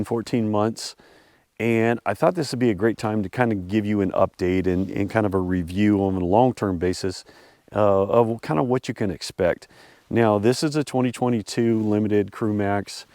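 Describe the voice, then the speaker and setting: A man hosting a vlog outdoors, a bit congested and hoarse from a cold, rambling casually with lots of fillers. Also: on the low side, a little mellow, dry recording. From US Pacific Northwest. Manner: rambling, many fillers and restarts. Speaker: vlog host reviewing his truck. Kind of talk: casual talk to camera. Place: outdoors at a snowy off-road area.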